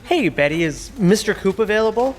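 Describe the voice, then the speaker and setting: A man's voice: high voice, expressive, fairly quick, faint music behind. Hesitant, defensive young male associate. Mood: insistent, confiding. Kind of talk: audio drama acting.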